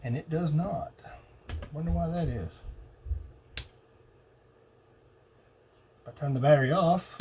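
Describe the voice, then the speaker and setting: A man thinking aloud in a halting, casual way as he tests electronics at a workbench. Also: a little high, a little mellow. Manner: muttering, halting, pondering to himself. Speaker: hobbyist demonstrating electronics testing. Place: indoor cluttered electronics workbench. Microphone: old phone used as camera above bench. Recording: noisy phone-camera workshop recording.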